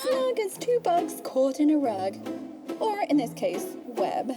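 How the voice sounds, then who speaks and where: High-pitched, showboating rabbit villainess over music. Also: female voice, wildly swooping pitch, takes it slowly, exaggerated voice. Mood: showboating, gleeful. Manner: showboating, mocking, hammy stage-host delivery. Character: gloating theatrical rabbit villainess, trickster magical djinn. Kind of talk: scripted audio drama villain monologue. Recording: music under the voice.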